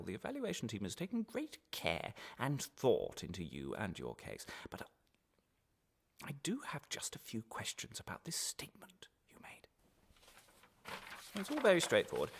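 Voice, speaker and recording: An actor playing a falsely friendly, menacing interrogator in a radio drama, chatty and polite but insinuating and condescending. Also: male voice, lowish voice, gravelly, a bit bright, exaggerated voice. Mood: softly menacing.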